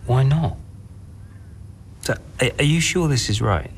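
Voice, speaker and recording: An actor playing a bewildered, protective heir in a video game drama, questioning and unsure. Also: male voice, medium pitch, animated, unhurried, a little hiss or hum. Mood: confused. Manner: questioning, uncertain. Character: bewildered male heir, protective of his sibling. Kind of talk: naturalistic video game cutscene acting.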